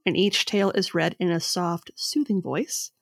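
A woman in her thirties co-hosting a casual podcast, reading her notes and chatting, with a slightly raspy voice. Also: on the low side, steady tone, moderate pace, no echo, studio quality. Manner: reads notes aloud, says her voice is getting raspy. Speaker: podcast co-host.

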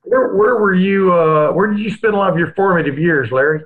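Host on a tinny phone-like line. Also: male voice, sits high, fairly quick. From USA, Alabama. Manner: chatty, friendly, laughs easily. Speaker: podcast host. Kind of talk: casual interview chat.

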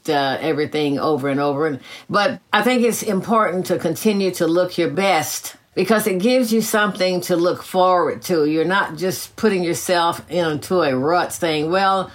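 A woman in her eighties, a retired teacher, telling her own story in a gracious, measured way with soft laughs. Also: on the low side. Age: 80s. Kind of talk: reflective personal storytelling.

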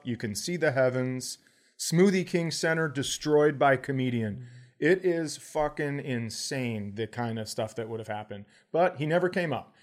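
American man telling profane comic stories. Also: medium pitch. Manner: profane, animated joking storyteller. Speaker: comedian and podcast co-host. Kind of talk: casual comedic storytelling.

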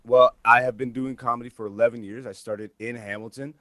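A man, a comedian talking casually as an interview guest and telling stories in animated, informal language. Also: mid-range voice, fairly quick, dry recording. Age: 30s. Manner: informal, peppered with like and you know.

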